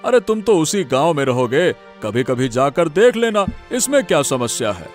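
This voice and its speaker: A man's voice: on the high side, sing-song, normal speed, faint music behind. A man voicing a generous, avuncular older village moneylender in a cartoon drama, expansive in manner. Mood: genial. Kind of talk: Hindi cartoon family drama.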